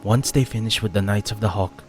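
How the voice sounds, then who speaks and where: Man playing a blunt low-voiced strategist. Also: darkish tone, faint music behind, natural voice. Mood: analytical, resolved. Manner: blunt, measured. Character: straightforward, grave male strategist, fisherman's son.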